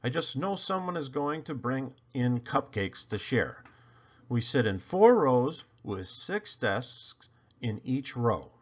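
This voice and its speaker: A man reads a children's story aloud with wildly swooping, expressive intonation. He takes his time and stresses questions and lists theatrically. The recording sounds a little dull and lo-fi. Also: mid-range voice, takes it slowly, breathy edge. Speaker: storyteller reading a picture book aloud. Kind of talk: animated children's read-aloud narration.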